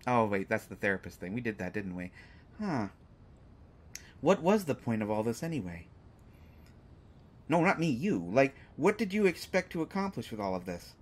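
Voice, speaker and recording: Man playing a sarcastic hostage, swooping animated pitch. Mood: smug amusement. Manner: teasing, sarcastic, exaggerated mock reactions. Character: sarcastic, unafraid male hostage taunting a lovesick supervillain. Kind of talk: scripted roleplay audio drama monologue.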